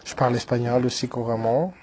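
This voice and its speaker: A man's voice: mid-range voice, fairly even intonation, brisk, darkish tone, slight background noise, rough recording. Hesitant man speaking French. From Brazil. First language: Portuguese. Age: 30s. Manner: hesitant, many fillers and restarts. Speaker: guest, entrepreneur. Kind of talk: radio interview, telling his life story.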